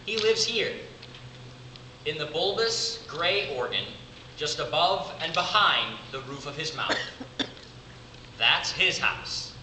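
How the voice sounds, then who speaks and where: Guy doing a worn-out devoted older brother stage monologue, higher voice, lots of pauses, far from the mic in an echoey room. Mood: explanatory, intense. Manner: deliberate, dramatic pauses between short phrases. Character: weary, devoted big brother caring for disturbed sibling. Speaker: amateur drama club actor. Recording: distant mic, echoey room, clearly noisy.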